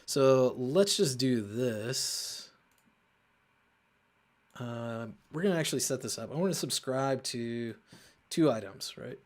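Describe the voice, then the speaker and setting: A man hosting a casual coding walkthrough, thinking aloud in a halting way as he works and often muttering to himself. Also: medium pitch, expressive. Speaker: host of a coding show.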